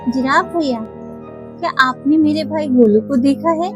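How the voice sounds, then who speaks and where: A woman's voice: high voice, moderate pace, lo-fi sound, over music, lightly acted. A woman voicing an earnest young calf girl in a children's toy cartoon story. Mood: earnest, searching.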